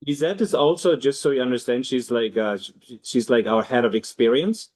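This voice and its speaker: A middle-aged man speaks at a medium pitch as the host of a webinar. His delivery is casual and unscripted, like someone chatting with his team. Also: brisk, darkish tone. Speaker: webinar host and academy head.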